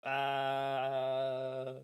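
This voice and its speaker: A man streaming a visual novel, reading its text aloud and chatting between scenes in an animated, self-mocking, jokey way. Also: mid-range voice, drawn-out words, smooth, no echo, clean studio sound, soft background music. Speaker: game streamer. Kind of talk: live-stream chat, reading a game aloud.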